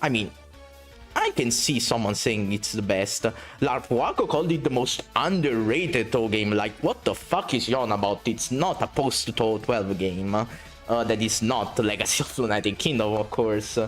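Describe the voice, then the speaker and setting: Excitable Italian-accented male game streamer. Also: on the low side, moderate pace, faint music behind. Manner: excitable, swearing, reading chat aloud. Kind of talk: casual gameplay commentary.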